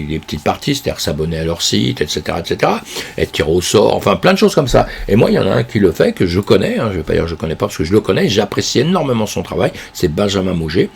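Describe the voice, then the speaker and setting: Hoarse, low, gravelly-voiced man. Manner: rambling, with many fillers and restarts; chatty, addresses viewers as friends. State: hoarse, congested with a cold. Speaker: crypto news commentator. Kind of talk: casual commentary on a news roundup.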